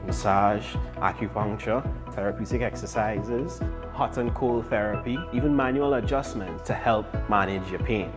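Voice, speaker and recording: A man reading a promotional ad for a therapy clinic in a measured delivery. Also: medium pitch. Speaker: clinic ad announcer. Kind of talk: read advertisement. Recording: ad voice over music.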